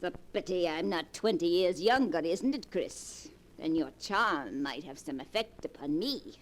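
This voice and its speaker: A woman's voice: on the low side, lively intonation, measured pace. An actress in a 1940s radio play voicing a wealthy older grandmother who mocks her grandchildren. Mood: teasing. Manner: mocking, imperious, cackling. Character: old rich grandmother, scornful and teasing. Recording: old radio broadcast with faint hiss.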